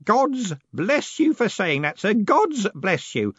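A humble old postal clerk, close to tears, pleading slowly in a raised, wavering voice, played by a man. The sound is dry and slightly dull. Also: high-pitched, huge pitch swings, a bit bright, husky, dry recording, pitched above the performer's own voice, full character voice. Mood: pleading tearful. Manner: pleading, tearful. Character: tearful older male postal clerk, humble.

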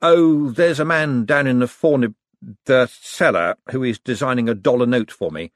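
A man's voice: medium pitch, moderate pace, no echo, clean studio sound, voice pushed up, lightly acted. A man voicing a glib, persuasive male con man turned banker. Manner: smooth and persuasive.

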